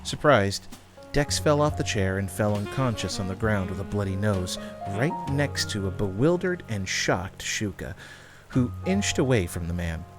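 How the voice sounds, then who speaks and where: Casting: male narrator for a fantasy tale, somewhat deep, scripted read over background music. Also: lively intonation, moderate pace, few pauses.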